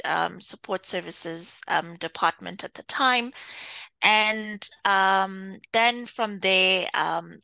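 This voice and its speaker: A woman from Kenya being interviewed, speaking thoughtfully with frequent pauses and easy laughs. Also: medium pitch, vocal fry, sharp tone, clean tone, no echo, low-quality recording. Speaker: interview guest, data executive. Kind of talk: conversational interview.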